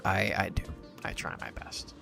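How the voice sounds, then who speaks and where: A man's voice: normal speed, pushed and bright. A young man from Puerto Rico chatting casually while streaming a game, rambling and reading chat aloud. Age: young adult. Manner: rambling, easily distracted, reads chat aloud. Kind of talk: casual gaming livestream chat. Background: game music.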